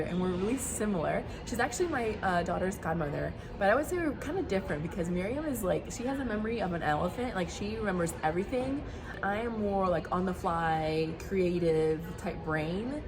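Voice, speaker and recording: A woman from Colombia, a brand co-founder, giving an energetic product pitch to camera in a warehouse. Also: noisy background. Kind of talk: upbeat product pitch video.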